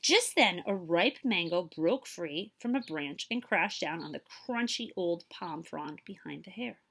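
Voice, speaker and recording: A woman reading a children's story aloud as a librarian, with expressive, dramatic emphasis and questions to her young listeners. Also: lowish voice, measured pace, creaky.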